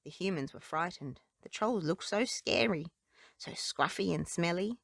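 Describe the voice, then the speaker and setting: A woman's voice: lowish voice, expressive, measured pace, vocal fry, a bit bright, no room sound, studio quality. A woman reading children's storybooks and nursery rhymes aloud as a narrator. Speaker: children's book reader.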